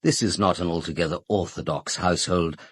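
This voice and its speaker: A British butler in a radio drama, very low and husky, recorded dry and close. He is unflappable and discreet, choosing each word with stiff, deferential formality. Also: fairly even intonation, fairly quick, dry recording. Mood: formal, guarded. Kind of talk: full-cast radio drama.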